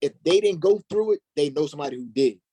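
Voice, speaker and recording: A man's voice: a little high, expressive, quick pace, dark tone, breathy edge. A man speaking casually as a guest actor in a panel chat. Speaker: stage actor, interview guest.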